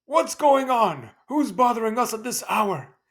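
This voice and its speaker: A woman's voice: on the high side, big pitch swings, a little slow, pitched above the performer's own voice. Casting: woman doing a grouchy, unforgiving old dad, begrudging, voice pushed up in irritation, storybook. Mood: irritated, grumbling. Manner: grumbling, begrudging. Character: grumpy, unforgiving older father woken at night.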